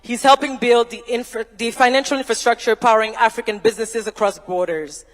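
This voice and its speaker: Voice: mid-range voice, steady tone, brisk, fairly bright tone. A panel moderator who introduces speakers, hypes the crowd, then asks long, formal questions. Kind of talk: event hosting and panel questions. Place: large university hall, on stage. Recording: reverberant large hall.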